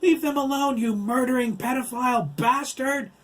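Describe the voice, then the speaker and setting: A man voicing a frantic, outraged mother who shouts to protect children in a storybook narration. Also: moderate pace, far from the mic. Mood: frantic outrage. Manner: shrill, shouted.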